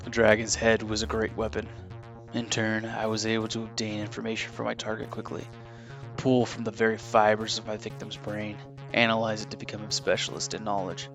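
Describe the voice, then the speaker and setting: A man plays a haunted, solemn warrior in an audio drama. His low voice is full of gravel, each phrase set down deliberately, with clear music underneath. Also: monotone, close to an everyday voice. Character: grave male ex-disciple warrior with tortured past.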